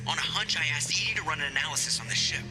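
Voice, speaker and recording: A man's voice: a little high, lively intonation, rapid-fire, lightly acted, poor audio. A man playing an edgy, chatty starship pilot in a video game, informal and urgent. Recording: loud music over the voice.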